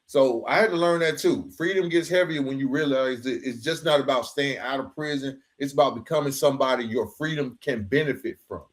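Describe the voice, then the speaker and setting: A man's voice: mid-range voice, fairly quick, hardly any pauses. A man hosting a casual interview, speaking familiarly and reflectively and dropping in "bro.". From Atlanta, Georgia, United States. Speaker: podcast host.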